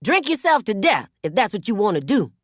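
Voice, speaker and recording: A woman narrator voicing a fed-up man in an audiobook, speaking with forceful exasperation. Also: mid-range voice, very animated, brisk, no echo, studio quality, voice pushed up. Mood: bitter. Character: fed-up, exasperated man quarrelling with his lover. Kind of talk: audiobook character dialogue.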